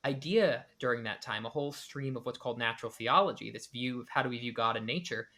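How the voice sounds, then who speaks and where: Male podcast host reacting to a clip, bright voice, analytical, lots of restarts. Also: medium pitch, quick pace. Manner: analytical, with many restarts and hedged speculation. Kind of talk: reaction commentary.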